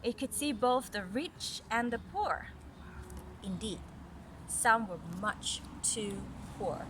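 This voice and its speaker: A woman's voice: on the high side, expressive, takes it slowly, background noise. A mother reading a children's story aloud, breaking off for casual explanations and questions to her child.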